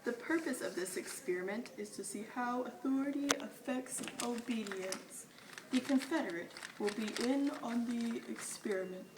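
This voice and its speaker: A woman's voice: fairly high pitch, moderate pace. A woman reading scripted narration for a puppet show, recorded on a phone or camera at a distance. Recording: roomy, distant mic, clear noise.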